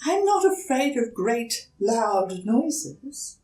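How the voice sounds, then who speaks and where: A woman's voice: on the high side, very animated, very slow, warm tone, pitched above the performer's own voice, full character voice. A woman voicing a timid, polite young turtle boy in a children's storybook, with a hesitant, pleading manner. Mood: earnest. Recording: roomy echo, distant mic.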